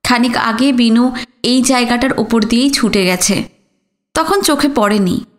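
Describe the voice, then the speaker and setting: A woman's voice: on the high side, measured pace. A woman reading literary fiction aloud as a scripted audio story narration.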